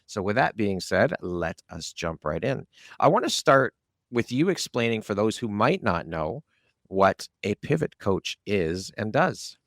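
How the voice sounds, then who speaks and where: A man's voice: on the low side, big pitch swings, measured pace, a bit bright, no room sound, clean studio sound. A man speaking as an upbeat, encouraging podcast host. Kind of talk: upbeat scripted intros and interview talk.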